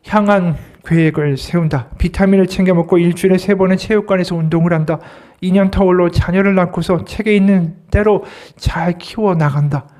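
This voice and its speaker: A man's voice: high-pitched, a little mellow. A man, an associate pastor, giving a Sunday sermon, explaining scripture and asking the congregation rhetorical questions.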